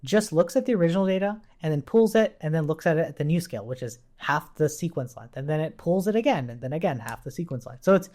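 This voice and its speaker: Man explaining code with lively, swinging pitch. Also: fast talker, hardly any pauses. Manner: explanatory, thinks aloud, often checks with "right?". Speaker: programming instructor. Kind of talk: informal coding tutorial, explaining while typing.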